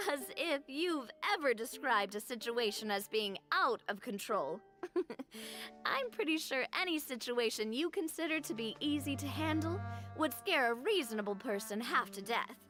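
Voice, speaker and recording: A woman's voice: high-pitched, animated, measured pace, over music. A curious, teasing young woman. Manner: bright and teasing. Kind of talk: game cutscene dialogue.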